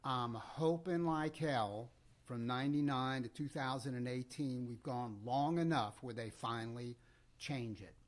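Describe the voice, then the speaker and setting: A man's voice: medium pitch, measured pace. A man co-hosting a podcast, chatting casually about politics and sports in a conversational, joking way. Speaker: podcast co-host.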